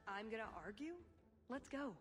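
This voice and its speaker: Game casting: a woman as an irreverent, confident young huntress, teasing and playful, fairly high register. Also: normal speed, lightly acted. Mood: wry, confident. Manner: teasing, wry, breezy. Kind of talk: video game dialogue acting. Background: game music.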